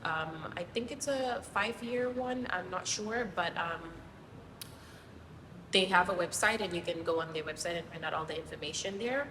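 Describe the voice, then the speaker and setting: A woman from Zimbabwe, a guest teacher, explaining things casually with many pauses and ums. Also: lowish voice. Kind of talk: casual interview answers. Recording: distant mic, roomy hall, noisy.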